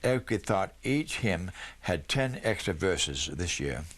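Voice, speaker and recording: A man's voice: low voice, big pitch swings, slow, a bit bright. A man reading a children's Christmas story aloud as scripted narration. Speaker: storybook reader.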